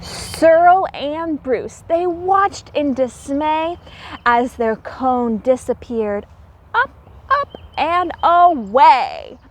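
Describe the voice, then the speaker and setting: A woman teacher reading a children's storybook aloud in an expressive, theatrical way and explaining things simply for young listeners. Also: high voice, drawn-out words.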